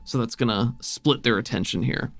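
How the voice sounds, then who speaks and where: A man's voice: mid-range voice, normal speed, creaky, no room sound. A man acting as game master, narrating a tabletop roleplaying game in a casual, easygoing, conversational way. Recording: music behind the voice. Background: music.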